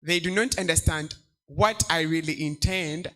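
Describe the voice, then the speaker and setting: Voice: medium pitch, moderate pace, sharp tone. A young adult audience member asking a panelist a long question, hesitant and searching for words.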